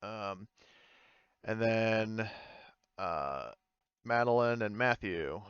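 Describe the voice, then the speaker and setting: A man working as game master, narrating a tabletop game casually and haltingly as he thinks aloud. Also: fairly low pitch, a bit bright, clean tone, dry recording, lo-fi sound.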